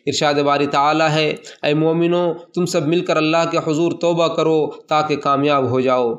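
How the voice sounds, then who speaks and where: Formal male sermon reader, flat delivery. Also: on the high side. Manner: steady, formal recitation with even cadence; addresses listeners as servants of God. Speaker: sermon translator. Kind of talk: read translation of a Friday sermon.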